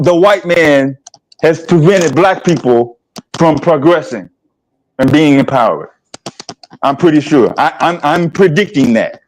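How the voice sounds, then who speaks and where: Brief: male host in his fifties from the American South, a touch high-pitched, halting and plainspoken. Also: a little mellow, slight background noise, rough recording. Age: 50s. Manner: plainspoken, halting, asks rhetorical questions. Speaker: livestream host. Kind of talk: casual discussion.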